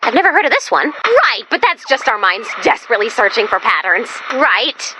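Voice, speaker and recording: A woman's voice: fairly high pitch, very animated, fairly quick, noisy background. A woman voicing a perky, chatty robot helper in a video game, peppy and quippy with theatrical delivery and dry asides. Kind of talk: animated video game voice lines.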